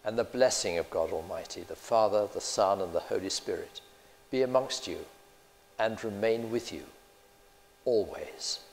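Casting: older male priest, low gravelly voice, measured liturgical delivery, reverberant church. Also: slight background noise. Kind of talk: church service blessing. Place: inside a large church.

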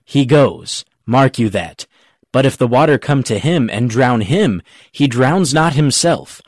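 A man's voice: medium pitch, lively intonation, a little slow, darkish tone, dry recording, studio quality. A man playing a cocky, quibbling rustic gravedigger. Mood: pedantic, argumentative. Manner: pedantic, jokey mock-logical argument. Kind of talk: dramatic audiobook reading of Shakespeare.

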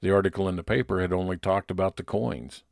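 A man's voice: low-pitched, fairly even intonation, quick pace, vocal fry, a little mellow, no echo, studio quality. A man playing an observant, wry private investigator, matter-of-fact, in old-time radio detective style.

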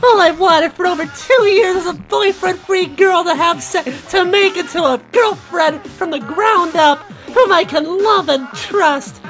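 A woman's voice: very high voice, a little slow, few pauses, fairly bright tone, faint music behind, heavily acted. A woman voicing an indignant young male hedgehog hero, declaiming earnestly in an amateur comic dub. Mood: pleading. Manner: declamatory, earnest speech-making.

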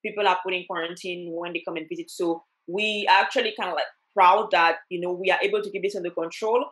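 A woman in her thirties from Cameroon, speaking as an interview guest over a headset on a video call. She talks animatedly, dotting her speech with fillers and quick laughs. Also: lowish voice, steady tone, fairly bright tone, poor audio. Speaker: travel blogger and doctor, guest. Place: home room, indoors.

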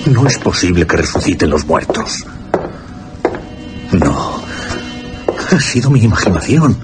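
An actor playing an anguished, haunted gentleman in a Spanish radio horror play, with a Castilian accent and theatrical, agitated delivery. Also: deep voice, sing-song, measured pace, loud music behind the voice, exaggerated voice. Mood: frantic, anguished. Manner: theatrical, agitated self-talk. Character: anguished young gentleman tormented by a vampire's return.

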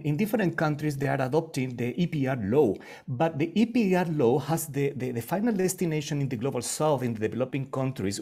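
A man from Chile with a Spanish accent, speaking English as a panelist in an online discussion, earnest and halting with many restarts. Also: on the high side, mellow, husky.

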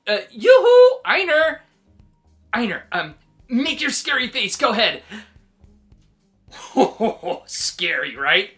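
A woman's voice: fairly high pitch, very animated, slow, pauses often, a bit bright, faint music behind, heavily acted. A woman voicing a chatty, meddling sheep with a hesitant, wheedling manner in a children's read-aloud. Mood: excited calling. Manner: hesitant, wheedling, full of suggestions. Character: chatty, meddling, nervously cheerful storybook sheep. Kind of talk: animated children's picture-book read-aloud.

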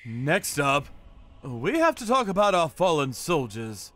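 An actor playing a hot-headed, boastful young yakuza with an emphatic, combative delivery in a scripted anime dub. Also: male voice, a little high, big pitch swings, a little slow, a bit bright. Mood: grave.

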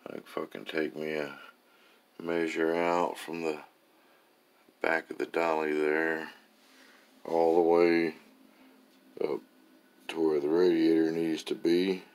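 Very deep, gravelly, slow-talking man. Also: little pitch movement, darkish tone. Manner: profane, folksy, plain-spoken. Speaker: amateur engine builder and vlogger. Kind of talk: casual hands-on garage walkthrough. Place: indoor garage or workshop with concrete floor.

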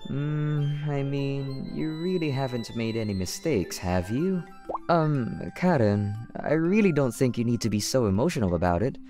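Flustered young office guy, acted by a man, very animated. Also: mid-range voice, measured pace, faint music behind, close to an everyday voice. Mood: weary, gentle. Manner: hesitant, stammering, sighing. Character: long-suffering twenties office worker man, kind, easily flustered. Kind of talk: anime-style dub acting with narration.

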